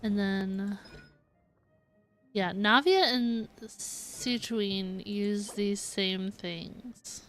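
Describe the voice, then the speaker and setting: A woman's voice: mid-range voice, extremely slow, fairly bright tone, soft background music. A woman game streamer chatting casually, musing aloud and indecisively to her viewers. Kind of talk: casual livestream chat.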